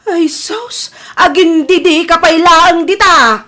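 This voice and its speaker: A woman's voice: very high-pitched, slow pace, bright, forceful tone, heavily acted. A woman with an Ilocano accent playing a disappointed, nagging mother who reproaches her son in an audio drama. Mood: ashamed, reproachful. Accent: Ilocano, northern Philippines. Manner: reproachful, lamenting.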